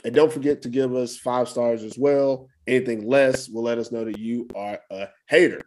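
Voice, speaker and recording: A man's voice: medium pitch, fairly even intonation, normal speed, darkish tone. A man hosting a podcast, wrapping up the episode in an upbeat, joking way. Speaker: podcast host. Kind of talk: casual podcast outro.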